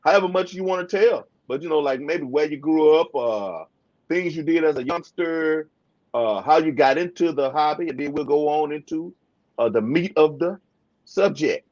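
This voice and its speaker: A man's voice: on the high side, normal speed. Laid-back man hosting a fish livestream. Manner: laid-back, drawling, shout-outs to viewers. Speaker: livestream host. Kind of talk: casual livestream chat. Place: home fish room with aquariums. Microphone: webcam video call. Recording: slightly dull video call audio.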